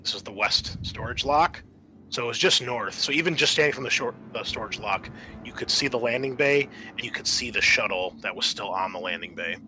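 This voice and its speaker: A man improvising narration as game master of a tabletop game, explaining things in a halting way. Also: mid-range voice, animated, bright, forceful tone, a little hiss or hum, poor audio.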